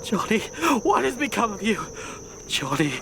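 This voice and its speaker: A man's voice: sits high, big pitch swings, normal speed, gravelly, noisy background, heavily acted. A man playing a guilt-ridden grave robber who narrates his doom in a brooding, theatrical way, in a gothic horror audio drama. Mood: horrified, panicked.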